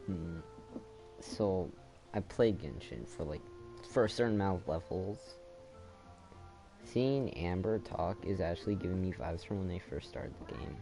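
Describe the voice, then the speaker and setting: A man giving casual, halting, rambling commentary while playing a video game. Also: low-pitched, over music.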